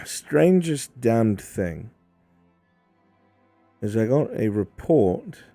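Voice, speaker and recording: A man playing a cynical, blunt imperial official in improvised fantasy roleplay, speaking in a dry, measured way with a gravelly voice and frequent throat-clearing. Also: fairly low pitch, warm tone. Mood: matter-of-fact.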